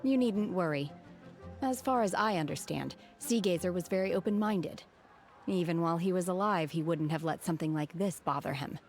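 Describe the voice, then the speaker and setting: A woman voicing a calm, aloof young female recluse in a video game, reserved and measured. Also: fairly low pitch, soft background music, close to an everyday voice. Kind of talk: video game character acting.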